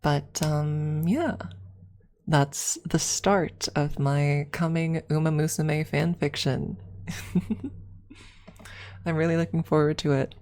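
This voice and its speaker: Low, unhurried woman streamer with creaky voice. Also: steady tone, a little mellow. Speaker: streamer hosting an ASMR livestream. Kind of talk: casual chat to livestream viewers; soft ASMR yapping.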